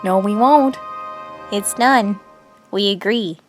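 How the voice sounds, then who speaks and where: A woman's voice: mid-range voice, slow, faint music behind, natural voice. A woman playing a desperate but resolute townswoman striking a bargain in an audio drama.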